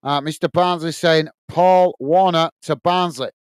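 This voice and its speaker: A man's voice: fairly high pitch, steady tone, measured pace, clear, resonant tone, dry recording. A man with a strong Lancashire accent hosting a live football watch-along, calling the play and reading out viewer comments in a chatty way with lots of "uh"s. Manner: chatty, reads out chat messages with filler "uh".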